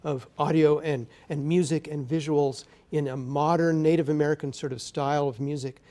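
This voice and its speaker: A man's voice: fairly high pitch, steady tone, normal speed, mellow. A man speaking as an interview guest, an orchestra conductor explaining things enthusiastically in a TV studio. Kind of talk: live TV interview talk.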